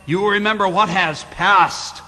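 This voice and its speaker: A man in a live radio drama playing the tormented ghost of a dead miser, mournful and theatrical, with a gravelly voice. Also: sits high, steady tone, bright, forceful tone, exaggerated voice. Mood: solemn warning. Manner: slow, mournful, theatrical wailing and declaiming. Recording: long hall reverb with music behind.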